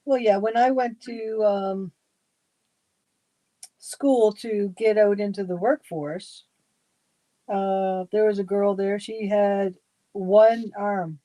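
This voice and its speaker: Livestream co-host, woman, mellow warm voice, relaxed storytelling, dry mic. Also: medium pitch, slow pace, pauses often, no echo, poor audio. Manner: easygoing, tells little stories, soft trailing endings. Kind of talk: casual chat.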